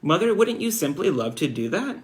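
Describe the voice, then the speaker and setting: A man reading aloud as an earnest, imaginative little farm girl in a storybook, insistent and excited as a storyteller. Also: a little high, fairly quick, voice pushed up. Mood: defiant, excited.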